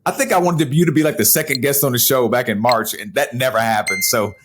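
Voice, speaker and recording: A man's voice: medium pitch, fast talker, fairly bright tone, a little hiss or hum. A man hosting a podcast, introducing and interviewing guests in a conversational way. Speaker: podcast host.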